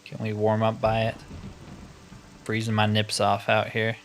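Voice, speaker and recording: A man's voice: on the low side, monotone, unhurried. Casual, wry male gamer over music. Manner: musing, wry, conversational. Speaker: gamer playing and commentating a fantasy roleplay game. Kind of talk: casual gameplay commentary.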